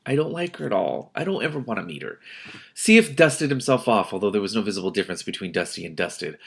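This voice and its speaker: A man with a fairly low voice reads a story aloud as the host. He moves between expressive narration and casual, exasperated commentary of his own. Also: fast talker, vocal fry, husky. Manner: expressive, exasperated asides with swearing. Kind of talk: audiobook-like reading with casual reactions.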